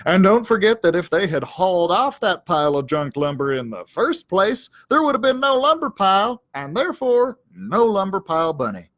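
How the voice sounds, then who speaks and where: A man's voice: high-pitched, very animated, moderate pace, hardly any pauses, fairly bright tone, no echo, studio quality. A man voicing a self-important, aggrieved cowdog who tells his storybook tale in a pompous, melodramatic, wordy way. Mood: bitter, self-righteous.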